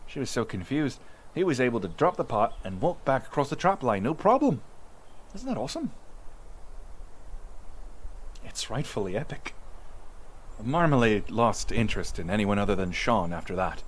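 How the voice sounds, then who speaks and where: A man's voice: mid-range voice, brisk, slight background noise, lightly acted. A man playing a chatty young villager who fondly recounts sea monster tales in an informal, rambling audio roleplay. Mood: amused, admiring. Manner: informal, animated, rambling witness account with hesitations. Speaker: voice actor. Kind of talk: in-character audio roleplay storytelling, conversational.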